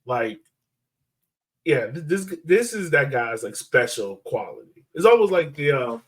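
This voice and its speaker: A man's voice: on the high side, very animated, unhurried, fairly bright tone. A man hosting a sports podcast in casual discussion, thinking aloud in an informal, conversational way.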